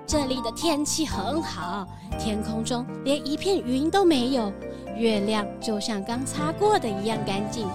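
A woman's voice: a little high, animated, measured pace. A woman playing a bleating goat boss lady. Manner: bleats now and then, proud and friendly. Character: gentle female goat cookie factory owner. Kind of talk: children's radio drama. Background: background music.

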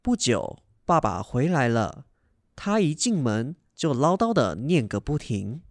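A man narrates a radio drama from a script. His mid-range voice is highly animated in pitch, as in storytelling, and recorded dry and close. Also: unhurried, dry recording.

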